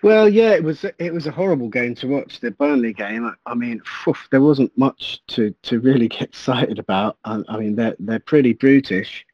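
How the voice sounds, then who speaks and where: A man's voice: mid-range voice, lively intonation, moderate pace, hardly any pauses, slightly rough, dry recording, dull audio. A man speaking as a podcast guest, hesitant and thoughtful, often stammering over his words. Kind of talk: casual podcast chat.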